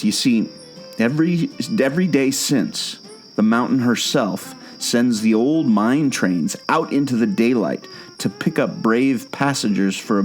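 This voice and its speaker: Low voiced guy acting as a patient, knowing tour guide telling old legends, audio drama, music under him. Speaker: storytelling guide. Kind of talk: scripted audio drama storytelling.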